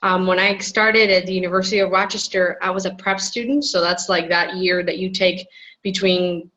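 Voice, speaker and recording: A woman's voice: lowish voice, fairly even intonation, normal speed, a bit bright, muffled recording. A woman from Puerto Rico sharing her experience in a workshop discussion, frank and wry. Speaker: workshop participant. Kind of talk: casual group discussion.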